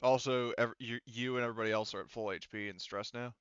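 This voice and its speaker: A man's voice: mid-range voice, steady tone, fast talker, a bit bright, smooth, no echo, muffled recording. A man running an online tabletop roleplaying game as game master, chatting casually and swearing now and then.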